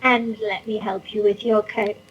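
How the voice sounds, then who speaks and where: A narrator voicing a sweet, eerily welcoming middle-aged landlady in a storybook reading, gentle and genteel, as a woman. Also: medium pitch, little pitch movement, husky, muffled recording, voice pushed up, natural voice. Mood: sweetly obliging. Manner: gentle, genteel, coaxing, drawn-out. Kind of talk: storybook character voice.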